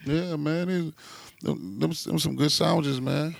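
A man's voice: fairly high pitch, steady tone, measured pace, gravelly, no room sound, clean studio sound. A man, co-hosting a podcast and telling crude, boisterous stories in casual chat. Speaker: podcast co-host.

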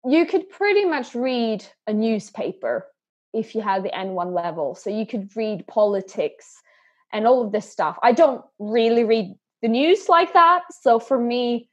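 A woman's voice: fairly high pitch, highs cut off. A woman guest in a podcast interview, talking earnestly and often repeating words as she starts a thought. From Sweden and England. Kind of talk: conversational interview.

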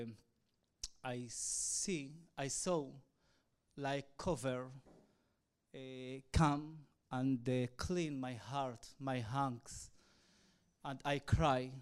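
A man from Israel, a native Arabic speaker, telling his personal testimony in hesitant, halting English full of fillers. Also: medium pitch, clear, resonant tone. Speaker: evangelist.

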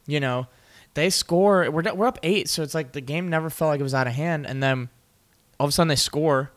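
A young man co-hosting a casual sports podcast, telling game stories in an animated way and laughing often. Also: on the high side, a little fast. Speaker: podcast co-host.

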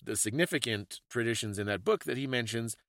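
A man's voice: mid-range voice, animated, fairly quick, vocal fry, a bit bright, dry recording. A man hosting a conversational talk, explaining things in an informative, scholarly way. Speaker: podcast host explaining folklore.

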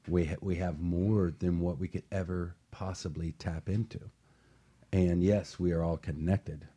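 Male motivational radio host, deep and husky, earnest and emphatic in delivery. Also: fairly even intonation, a little slow, dark tone. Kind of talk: motivational talk show discussion.

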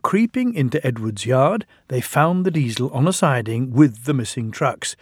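A man's voice: mid-range voice, expressive, moderate pace, slightly rough, dry recording, studio quality. A man narrating a children's storybook as an audiobook reader.

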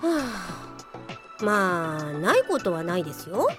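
A woman playing a hot-tempered, sarcastic female police officer in a comedic radio drama, exasperated and rough-tongued. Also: lowish voice, big pitch swings, measured pace, over music. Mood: dry, exasperated.

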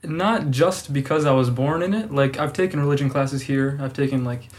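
A man's voice: medium pitch, normal speed. A man, talking casually as a podcast guest in a careful, thoughtful, somewhat hesitant way. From born in Serbia, raised abroad from toddlerhood. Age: early 20s. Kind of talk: casual interview chat.

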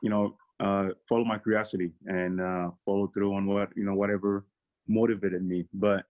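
Low-voiced man talking things over. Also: normal speed, dull audio. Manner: relaxed, reflective, many fillers. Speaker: guest. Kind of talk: casual interview.

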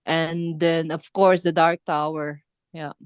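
Filipino-accented woman, slow unhurried pace, smooth clear tone, dry close sound, halting english. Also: sits low, flat delivery, no echo. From Philippines. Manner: halting, searching for words, many fillers and restarts. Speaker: band singer being interviewed. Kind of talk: casual interview chat.

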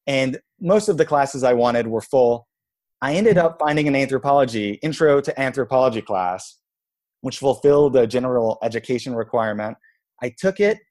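A man in his thirties from Israel, a guest telling his life story in a relaxed, reflective way, with many "like"s and "you know"s. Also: mid-range voice. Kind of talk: casual storytelling interview.

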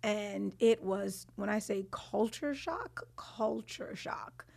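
A woman's voice: mid-range voice, slow, creaky, darkish tone. A woman in her thirties from Brooklyn with a Trinidadian Brooklyn accent, telling her story candidly as a guest on a chat show. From Brooklyn, New York. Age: 30s. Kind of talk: casual chat.

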